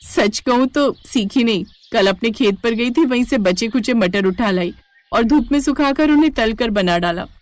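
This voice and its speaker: A woman's voice: on the high side, normal speed, dry recording, natural voice. A woman voicing a brave, caring and fiercely protective village wife and mother in a moral story, firm and feisty. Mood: reassuring, determined.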